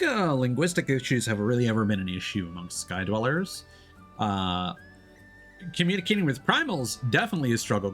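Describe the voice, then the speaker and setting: A man's voice: mid-range voice, a bit bright. A man doing casual live game commentary, thinking aloud into a streaming mic with game music behind him. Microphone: streaming microphone with headphones.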